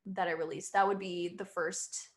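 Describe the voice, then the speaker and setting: A woman's voice: on the low side, little pitch movement, moderate pace. A young woman musician chatting casually in an interview, rambling and quoting herself often.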